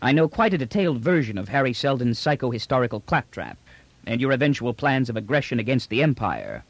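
Audiobook role: confident military commander of an empire, read by a man, formal, measured menace, expressive pitch. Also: dry recording. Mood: smoothly menacing. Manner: formal, precise, smoothly authoritative. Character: commanding, confident male imperial general, coolly menacing. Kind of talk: audiobook character dialogue.

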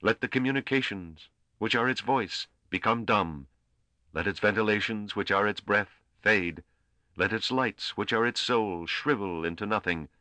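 A man voicing a stern, righteous priest in a sci-fi audiobook, declaiming solemn curses and commands in a slow, commanding way. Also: on the low side, bright, forceful tone, no room sound, pitched above the performer's own voice. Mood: solemn cursing. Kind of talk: dramatic audiobook character voice.

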